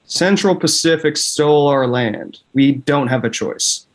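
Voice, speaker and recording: Actor as slow, solemn old chief. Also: male voice, fairly high pitch, animated. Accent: thick accent. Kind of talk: table read of a screenplay.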